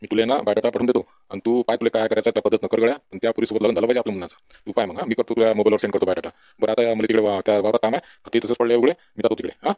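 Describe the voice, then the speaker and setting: Cartoon casting: fretful village farmer dad played by a man, rapid nagging Varhadi Marathi, slightly dull lo-fi sound. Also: on the low side, steady tone, warm tone, dry recording, natural voice. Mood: insistent. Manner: nagging, folksy. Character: fretful village farmer father, bossy, exasperated by his son. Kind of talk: comedy cartoon dialogue.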